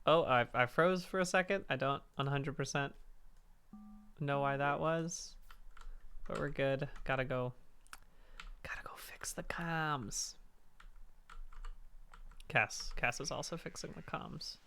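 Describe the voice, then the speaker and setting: Male host, hoarse and raspy, bright tone, rambling gamer chat. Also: slow, pauses often, a little hiss or hum. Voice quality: deeper raspy register. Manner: rambling, self-interrupting. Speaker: stream host. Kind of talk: casual gaming chat on a charity stream.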